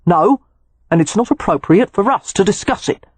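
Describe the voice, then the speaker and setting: Woman doing a stiff dutiful young guy, proper and formal, husky. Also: medium pitch, lively intonation, normal speed, no echo, voice pushed up. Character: young male hotel manager, dutiful and stiff.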